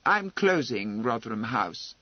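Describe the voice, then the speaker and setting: A man's voice: medium pitch, sing-song, unhurried, no room sound, dull audio, voice pushed down. A man voicing a cold, unbending aristocratic lord with a curt, matter-of-fact manner in a novel reading. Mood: curt, final.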